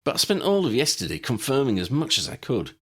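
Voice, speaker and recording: A man's voice: medium pitch, wildly swooping pitch, fast, fairly bright tone, slightly rough, voice pushed up, heavily acted. A man voicing a cocky, stubborn young male reporter in an audiobook reading, with a bold, insistent delivery. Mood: breezy.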